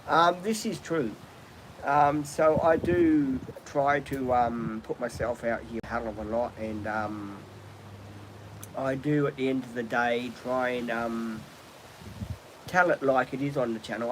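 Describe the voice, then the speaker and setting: A middle-aged Australian man talks at an ordinary pitch in a loose, rambling live-stream chat, full of ums and restarts. Clear background noise runs behind him, with vehicles passing outdoors. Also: fairly even intonation, a little slow. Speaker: livestream host. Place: outdoors, birds in trees nearby.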